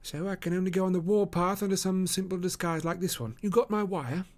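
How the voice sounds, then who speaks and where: A gentleman detective from a storybook, voiced by a male narrator. He speaks in a raised, precise voice, commanding and self-assured with quiet amusement underneath. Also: high-pitched, brisk, pitched above the performer's own voice, close to an everyday voice. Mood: self-satisfied.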